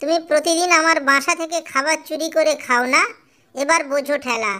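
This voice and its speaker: A woman voicing a fretful, caring but shrewd mother songbird in an animated cartoon. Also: high-pitched, a little fast. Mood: determined. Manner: fretful, expressive.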